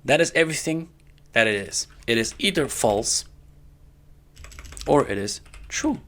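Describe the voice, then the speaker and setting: A man giving a casual, step-by-step coding tutorial in an informal way, often checking in with the listener, with a gravelly voice. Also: medium pitch, lively intonation, unhurried, fairly bright tone. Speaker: programming tutorial instructor. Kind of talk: casual screen-recorded coding lesson. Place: indoors at a computer.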